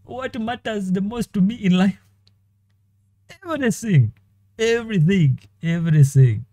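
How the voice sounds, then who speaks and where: A man performing the same dramatic monologue several times over, in a slow, theatrical delivery with long pauses. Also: high-pitched, expressive, dark tone, no room sound, studio quality. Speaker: animator and voice actor. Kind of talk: dramatic monologue in five voice takes.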